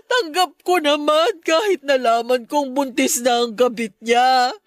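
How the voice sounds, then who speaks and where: A woman's voice: high voice, a little slow, no echo, studio quality. A woman with a Filipino accent playing an abandoned, heartbroken mother in a radio drama, clinging and pleading with emotion. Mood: desperate, pleading.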